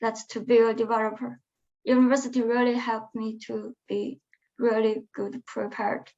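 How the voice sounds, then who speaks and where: A young woman speaking English as a second language, answering interview questions in a halting, hesitant way. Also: a little high, little pitch movement, a little mellow. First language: not English; non-native speaker. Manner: hesitant, halting phrasing with restarts. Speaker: alumna guest. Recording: muffled lo-fi webinar audio.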